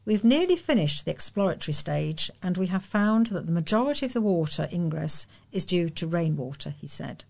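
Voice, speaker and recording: Creaky lower-pitched woman reading local news. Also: big pitch swings, brisk, hardly any pauses, darkish tone. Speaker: volunteer newsreader. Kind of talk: read-aloud local news.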